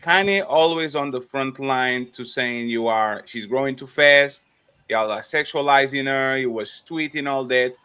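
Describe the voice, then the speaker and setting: A man's voice: medium pitch, unhurried, bright, forceful tone, clear, resonant tone. A man hosting a casual panel discussion, stumbling and repeating words as he presses the others with questions. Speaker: panel host.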